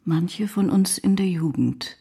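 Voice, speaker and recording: A woman playing a solemn, gentle schoolmaster's wife in a radio play, speaking in a breathy half-whisper. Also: on the low side, unhurried, heavily acted. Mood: grave, hushed. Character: solemn schoolmaster's wife gently breaking grave news to child.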